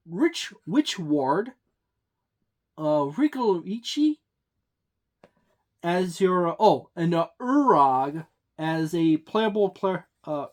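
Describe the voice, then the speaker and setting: A tabletop game reviewer giving a book overview, hesitant, with frequent fillers and restarts. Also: mid-range voice.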